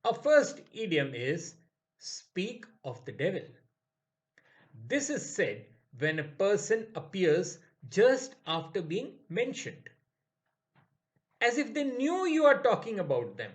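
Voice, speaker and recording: A man narrating a scripted English idioms lesson in a slow, measured way. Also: sits high. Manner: slow, measured, lively intonation. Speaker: English teacher.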